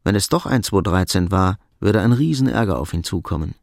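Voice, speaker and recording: A man narrating a crime radio drama in a measured, matter-of-fact scripted style. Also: low voice, animated, no room sound, studio quality.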